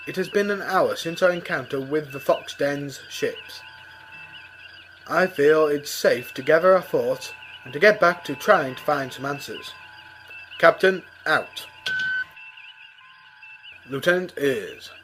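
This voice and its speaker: A man playing a steady, thoughtful rabbit starship captain in a sci-fi audio drama, with a measured, authoritative way of talking. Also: a little high. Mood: reflective, composed.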